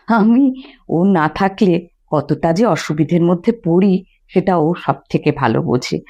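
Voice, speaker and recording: A woman storyteller reading a short story aloud in an expressive narrating style. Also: medium pitch. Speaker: storyteller, reader. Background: faint music.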